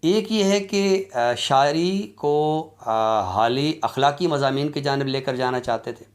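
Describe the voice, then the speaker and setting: A man giving an academic lecture in a deliberate, explanatory way, with halting pauses. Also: a little high. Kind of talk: academic lecture on literary criticism.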